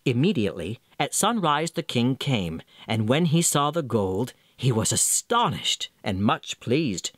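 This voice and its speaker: Guy narrating a fairy tale, super expressive, pitch moving all over, dry close sound. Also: measured pace, few pauses, no room sound. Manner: theatrical, expressive. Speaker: storyteller.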